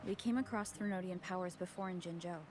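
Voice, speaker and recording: A woman playing a calm, level-headed young heroine in a video game, speaking matter-of-factly. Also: on the low side, fast, natural voice. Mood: reassuring, reasoning. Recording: game music behind the voice.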